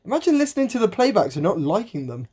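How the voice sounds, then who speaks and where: A woman playing an eager, cheeky, impatient sidekick in an amateur mystery radio play, bantering and excitable. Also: mid-range voice, fast, slightly rough. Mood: intrigued. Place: recorded alone at home.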